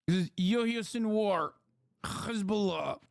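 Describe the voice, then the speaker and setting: A man's voice: high voice, slow pace, vocal fry, dry recording, studio quality. A man, a streamer giving blunt, profane, mocking reaction commentary. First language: Turkish.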